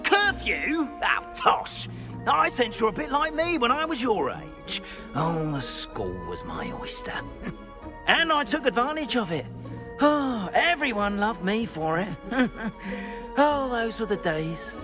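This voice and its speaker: A man's voice: very high-pitched, huge pitch swings, slow pace, music in the background, full character voice. An actor playing a flustered, fearful older British school caretaker in a video game, hesitant and florid in his phrasing. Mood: coaxing, nostalgic. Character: jittery, eccentric older male school caretaker, theatrical and fearful. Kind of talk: video game character acting.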